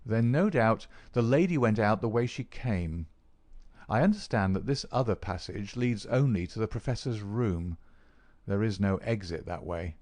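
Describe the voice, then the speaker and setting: A man voicing a self-assured, observant detective in an audiobook, with a measured and courteous manner. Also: lowish voice, a little mellow, no echo, close to an everyday voice. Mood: decisive.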